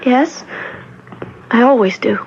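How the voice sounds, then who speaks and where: A woman's voice: a little high, takes it slowly, darkish tone, a little hiss or hum. An actress playing a timid, lost young woman who is hesitant, meek and stammering, in a 1970s radio drama. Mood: troubled, lost. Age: young adult. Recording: dull vintage radio broadcast recording.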